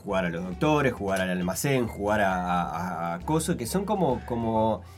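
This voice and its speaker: A man's voice: on the low side, big pitch swings, normal speed, faint music behind. A man, speaking in a casual chat, thinking aloud with many restarts. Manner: reflective, hesitant, many restarts.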